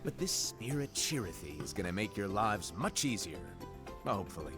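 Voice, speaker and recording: An actor playing a playful, eccentric master of apprentices in a fantasy game cutscene, flippant and theatrical. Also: male voice, on the low side, big pitch swings, normal speed. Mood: breezy, playful. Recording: game cutscene with clear music behind.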